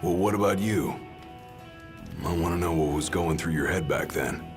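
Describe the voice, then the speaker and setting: A man playing a gruff, determined young bodyguard in a video game, blunt and informal. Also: low-pitched, normal speed, natural voice. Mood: curious. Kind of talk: video game cutscene acting. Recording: music behind the voice.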